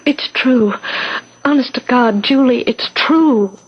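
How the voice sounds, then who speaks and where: An American actress playing an intense, scheming suburban wife in a 1970s radio drama, insistent and deliberate. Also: female voice, high voice, breathy edge, full character voice. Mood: earnest, intense. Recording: dull, lo-fi old radio broadcast.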